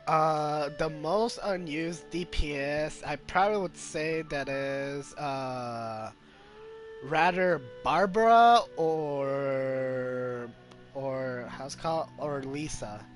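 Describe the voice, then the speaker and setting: A man giving casual gameplay commentary as a streamer, halting as he searches for words. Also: on the high side. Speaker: game streamer. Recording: game music behind.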